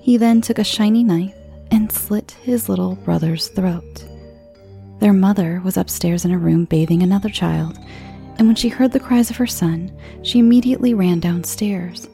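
A woman's voice: medium pitch, moderate pace, gravelly. A woman storyteller hosting and narrating frightening fairy tales from a script. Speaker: podcast host and storyteller. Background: soft music.

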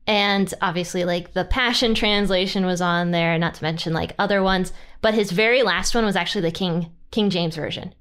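A woman hosting a podcast, chatting in a relaxed, casual way and laughing easily. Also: normal speed, few pauses, clean tone. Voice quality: low singing voice. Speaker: podcast host. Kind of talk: casual conversational interview.

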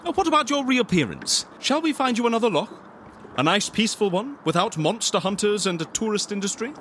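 A man's voice: high-pitched, big pitch swings, fairly quick, fairly bright tone, a little hiss or hum. A man playing a polite, eccentric fixer in a comedy audio drama, hesitant and theatrical in his manner. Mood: eager, scheming. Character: eccentric, polite, excitable male fixer interviewing a client. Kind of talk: audio fiction comedy acting.

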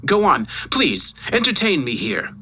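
Man playing overbearing male boss, audio story, angry, mocking, condescending, sharp bright tone, faint background noise. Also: mid-range voice, normal speed, natural voice. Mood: angry, domineering. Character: domineering, furious newspaper boss berating his employee over the phone. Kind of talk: dramatised audio story dialogue.

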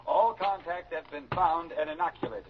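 A man's voice: fairly high pitch, lightly acted. A man acting a formal, reassuring news announcer reading a bulletin in a 1950s radio drama. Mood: official, reassuring. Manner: official, measured wording. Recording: dull, noisy old radio broadcast.

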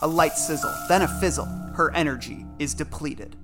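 A man's voice: a little high, normal speed. A man narrating a scripted audio drama, reading out the action as a storyteller. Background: music.

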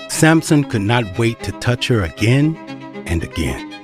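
A man's voice: medium pitch, big pitch swings, a little slow. A man narrating an audio drama as scripted, dramatic storytelling. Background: violin and string music.

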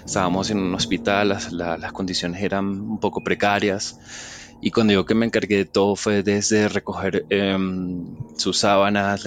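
Man, fairly low voice, soft and halting, reflective storytelling, faint music underneath. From Venezuela. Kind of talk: personal storytelling.

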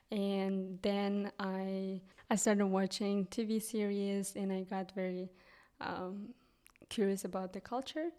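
A young Kyrgyz woman talks in an unhurried, hesitant way, stopping often to search for words. Her voice is mellow and fairly flat in pitch. She speaks English as a third language, with a clear Kyrgyz accent. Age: young adult. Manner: hesitant, many ums and restarts. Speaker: guest, English teacher. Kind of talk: casual interview.

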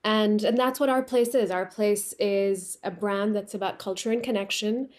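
A woman from Pakistan whose first language is Urdu talks about her work in a remote interview. Her pitch sits in the middle, neither high nor low. She tells her story in an unhurried way and often restarts her sentences. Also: steady tone. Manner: warm storytelling with frequent restarts. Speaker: guest, company founder. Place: remote call from home.

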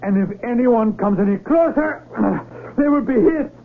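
An actor in a radio drama playing a proud, desperate young mountaineer, an outsider who speaks earnestly and defensively. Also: male voice, very high-pitched, expressive, moderate pace, vocal fry, full character voice. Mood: defiant, desperate. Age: young adult. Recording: tinny vintage radio broadcast.

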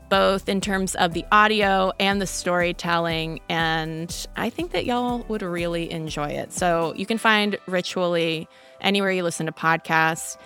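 A woman's voice: medium pitch, fairly bright tone, clear, resonant tone. A woman hosting a podcast, chatting in an upbeat way and speaking straight to her listeners. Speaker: podcast host. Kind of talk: casual podcast outro.

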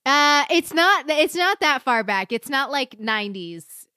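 A woman co-hosting a casual show discussion, animated and acting out quoted lines, laughing often. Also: high voice, measured pace, dry recording, studio quality. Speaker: podcast co-host. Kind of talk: casual chat.

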